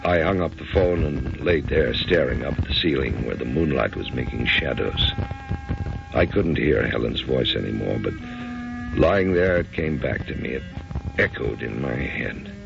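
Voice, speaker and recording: An actor playing an older, grieving husband in an old-time radio drama, anguished and apologetic, speaking haltingly and broodingly. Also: male voice, very deep voice, animated, gravelly, exaggerated voice. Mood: sorrowful, haunted. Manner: hesitant, brooding, halting delivery. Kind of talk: old-time radio drama acting and first-person narration. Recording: dull, noisy old radio recording.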